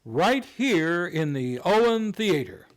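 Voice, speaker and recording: An actor playing a courteous, gently teasing host of an old-time radio show, polite, measured and formal. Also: male voice, high voice, big pitch swings, exaggerated voice. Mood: announcing. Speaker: host and announcer.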